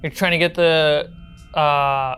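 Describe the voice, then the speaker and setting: A woman's voice: low voice, steady tone, slow pace, a bit bright, smooth. A woman game master narrating and refereeing a tabletop role-playing game.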